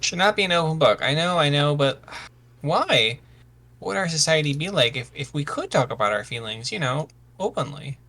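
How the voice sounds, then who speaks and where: A man acts a sensitive misfit son in a fantasy drama. His voice is rather high and bright. He hesitates and stammers, earnest and anxious. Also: lively intonation, normal speed.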